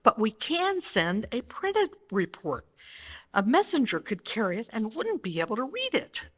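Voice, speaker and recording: A woman acts a plucky, wholesome girl in live radio drama style. Her voice is highish and breathy, with eager, animated swings of pitch. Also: normal speed, exaggerated voice. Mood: excited, eager. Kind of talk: live old-time radio juvenile acting.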